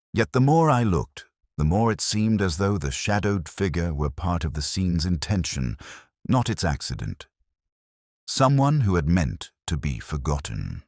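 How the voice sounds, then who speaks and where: A man narrating as a brooding, weary middle-aged detective, in a measured, reflective and wry way. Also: deep voice, no echo, clean studio sound, heavily acted. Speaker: first-person narrator. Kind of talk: scripted radio-drama narration.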